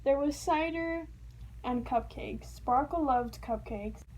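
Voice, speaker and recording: High-voiced woman reading a children's story. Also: slow pace, poor audio. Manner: expressive storytelling with playful sound words. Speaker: storybook reader. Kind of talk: children's read-aloud narration.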